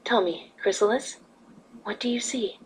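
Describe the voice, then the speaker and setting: A woman playing a calm, patient, regal winged unicorn ruler, composed, formal and gently persuasive, in an amateur fan fiction drama. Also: medium pitch, unhurried, slightly rough, low-quality recording. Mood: patient, instructive.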